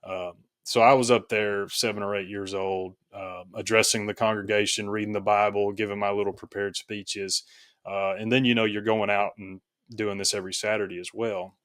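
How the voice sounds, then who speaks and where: A man telling his personal story reflectively as an interview guest, in a halting, thoughtful way, often correcting himself. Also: lowish voice, flat delivery, a bit bright, no room sound. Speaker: podcast guest, author.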